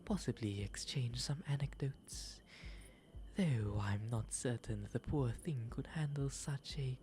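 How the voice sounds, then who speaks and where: A man voicing a refined, gentle owl demon gentleman in a soft, breathy ASMR roleplay, speaking with courteous, eloquent phrasing. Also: medium pitch, natural voice. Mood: amused, playful. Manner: genteel, eloquent, unhurried phrasing. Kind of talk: ASMR roleplay, intimate character acting.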